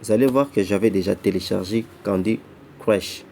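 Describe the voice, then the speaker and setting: A man giving a casual walkthrough of an app, halting and often repeating words and restarting phrases, who says he is not an English speaker. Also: lowish voice, vocal fry, mellow, slight background noise. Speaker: app tutorial presenter. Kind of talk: casual screen-recorded walkthrough.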